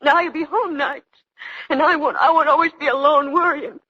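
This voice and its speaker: An actress playing a worried, world-weary trucker's wife in 1940s radio melodrama, with an American accent. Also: female voice, high voice, steady tone, normal speed, close to an everyday voice. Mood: bitter, tearful. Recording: muffled 1940s radio broadcast.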